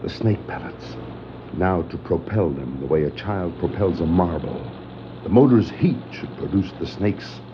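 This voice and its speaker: An actor playing a resourceful, steady masked hero with an earnest delivery, in 1960s radio-play style. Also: male voice, deep voice, measured pace. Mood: tense, plotting. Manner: earnest, declarative heroic delivery. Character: steady, resourceful adult male masked crime fighter. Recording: muffled, noisy 1960s vinyl record.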